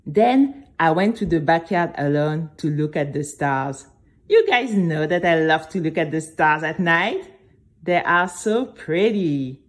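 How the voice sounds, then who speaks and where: A nervous, dreamy girl played by a woman narrates her own children's story. Her delivery is slow and very animated, with dramatic, chatty asides to the listeners. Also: deep voice, pitched below the performer's own voice. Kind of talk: scripted first-person storytelling for children.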